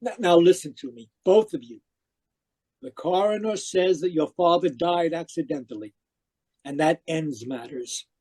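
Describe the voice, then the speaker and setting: Husky, theatrical scheming uncle, played by a man. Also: fairly high pitch, normal speed, darkish tone. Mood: domineering. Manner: theatrical, emphatic. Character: obsessive, domineering uncle, defensive and scheming. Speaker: radio drama actor. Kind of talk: 1940s radio mystery acting, narrating and dialogue. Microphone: video call. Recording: muffled-edged online video call.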